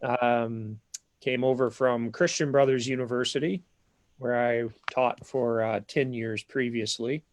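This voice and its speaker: A man with a Minnesota accent, an academic dean explaining a degree program in an online info session, measured and full of small pauses. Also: medium pitch, fairly even intonation, no room sound. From southern Minnesota.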